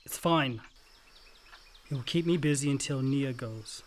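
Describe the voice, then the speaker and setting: A man's voice: mid-range voice, a little slow, a little hiss or hum, close to an everyday voice. A man acting an earnest, dutiful young adult son, the family peacemaker, in a naturalistic radio play. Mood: calm.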